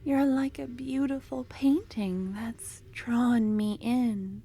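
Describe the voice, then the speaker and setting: A young woman voicing an obsessive, possessive female cultist in an ASMR roleplay. She talks in a soft, close murmur that drops into whispers, and her tone is doting and unsettling. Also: a little high, fairly even intonation, slow, creaky, breathy edge, exaggerated voice. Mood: curious, doting.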